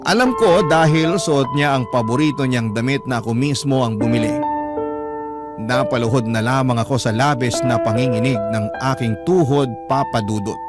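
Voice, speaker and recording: A man's voice: medium pitch, moderate pace. A man with a Filipino accent giving a sorrowful, emotive dramatic narration of a letter. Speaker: narrator reading a listener's letter. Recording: loud music bed behind narration.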